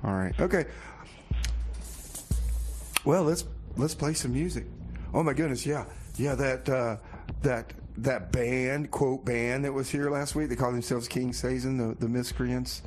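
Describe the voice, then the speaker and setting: A man's voice: medium pitch, unhurried, soft background music. A man hosting a community radio show in a casual, laid-back way, often repeating his phrases.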